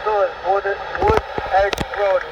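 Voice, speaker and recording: A man with a high-pitched voice gives route directions over CB radio in an informal, instructive way. He sounds muffled, under very heavy static. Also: huge pitch swings, slow pace, recorded from a distance. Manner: instructive, listing exits and routes. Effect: CB radio transmission.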